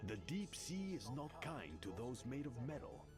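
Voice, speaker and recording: A man's voice: medium pitch, sing-song, moderate pace, close to an everyday voice. A man voicing a haughty, menacing undersea ruler in a video game, imperious and taunting. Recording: game audio with music.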